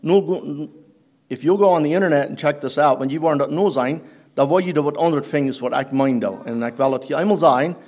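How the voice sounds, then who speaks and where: A man whose first language is Plattdeutsch, preaching a sermon in halting German that he mixes with English phrases. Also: on the high side, a little mellow. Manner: halting, searching for German words, mixing in English.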